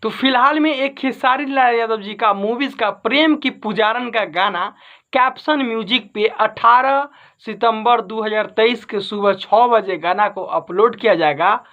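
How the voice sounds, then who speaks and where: Guy doing gossip news for his channel, slow delivery, keeps rephrasing stuff, kinda muffled lo-fi audio. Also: sits high, bright, forceful tone. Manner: repetitive, rephrasing points for emphasis. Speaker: YouTube host. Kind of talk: informal entertainment news commentary to camera.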